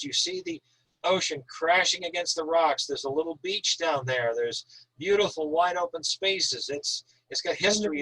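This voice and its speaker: A man with a somewhat high voice talks in a casual, enthusiastic style. He is effusive and wordy, stressing his points like an author keen to share his work. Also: bright, forceful tone, no echo, rough recording. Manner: effusive, talkative, emphatic. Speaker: author, guest at an online reading. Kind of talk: casual enthusiastic chat about writing.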